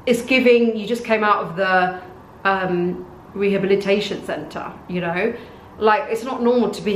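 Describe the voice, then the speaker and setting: Woman vlogging in an echoey room. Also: slight background noise. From North Africa. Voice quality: says she has a deep voice. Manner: says her voice and face are monotone. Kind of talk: casual opinion monologue to camera.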